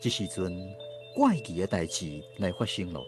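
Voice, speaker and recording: An actor playing a theatrical storyteller, narrating an old folk tale in a radio drama. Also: male voice, low-pitched, huge pitch swings, normal speed, over music. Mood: dramatic, suspenseful.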